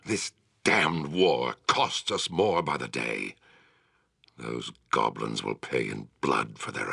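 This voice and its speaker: Casting: a grave fantasy game commander, low-pitched, formal and authoritative, with widely swinging intonation. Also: male voice, vocal fry, a bit bright, dry recording, studio quality, heavily acted. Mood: grim. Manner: measured, formal, authoritative delivery. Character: grave, commanding male town war leader in fantasy. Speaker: quest-giving military commander. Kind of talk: video game character acting, formal dialogue.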